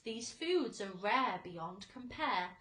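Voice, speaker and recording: Woman playing a boastful centipede, roomy and animated. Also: fairly high pitch, slow. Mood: boastful, relishing. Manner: declamatory, rhythmic verse delivery. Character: boastful, exuberant, greedy centipede reciting a food song.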